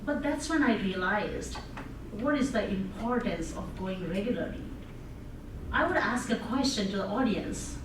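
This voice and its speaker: A woman's voice: medium pitch, animated, a little slow. A woman with an Indian accent giving an interactive speech, asking the audience questions and laughing often. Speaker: public speaker. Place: conference room with audience. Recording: echoey room, voice from far off, noisy.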